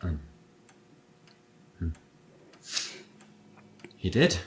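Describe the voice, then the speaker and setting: A man playing a gentle, awkward young man in love in an audio play, speaking in a hesitant, halting way. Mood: nervous, hopeful.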